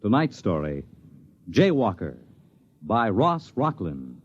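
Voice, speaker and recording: A man's voice: fairly low pitch, wildly swooping pitch, takes it slowly. A man announcing a radio series in a dramatic, portentous 1950s style. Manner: portentous, declamatory. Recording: dull 1950s radio recording, loud music.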